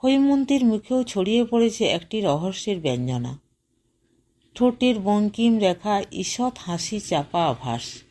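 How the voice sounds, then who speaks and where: A woman narrating a short story as an audiobook, reading in a measured way and softly voicing the dialogue. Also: mid-range voice, big pitch swings.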